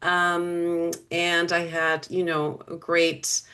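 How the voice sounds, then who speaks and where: A woman from the United States talking as a guest about her life, relaxed and chatty, with many fillers. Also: on the low side, drawn-out words. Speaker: guest language teacher. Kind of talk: casual conversation.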